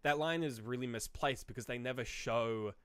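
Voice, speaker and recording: A man's voice: mid-range voice, normal speed, fairly bright tone, clean tone, no echo. A man co-hosting a podcast, chatting informally about a film, voicing imagined reactions and swearing casually. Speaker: podcast co-host.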